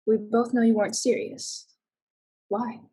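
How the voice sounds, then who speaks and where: Very husky, earnest woman, acted in stage drama. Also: on the high side, little pitch movement, measured pace, mellow. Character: earnest, probing young woman, a survivor turned pirate's companion. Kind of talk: staged reading of a stage play.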